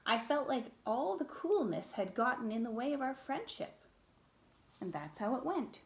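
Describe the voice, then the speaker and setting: A woman reading a children's picture book aloud in an expressive, theatrical way, with dramatic pauses and emphasis. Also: fairly high pitch, roomy sound.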